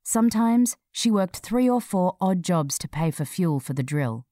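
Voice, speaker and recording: A woman narrating a scripted feature article in a measured, clearly read delivery. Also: on the low side, lively intonation, mellow, no room sound, clean studio sound.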